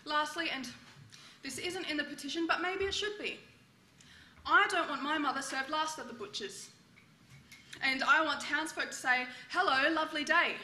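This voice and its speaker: A woman's voice: high voice, pushed and bright, pitched above the performer's own voice. A woman playing an earnest, defiant 1950s mother delivering an emphatic, plain-spoken petition in a stage monologue. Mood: earnest, pleading. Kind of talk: theatrical monologue. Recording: roomy sound with faint background noise.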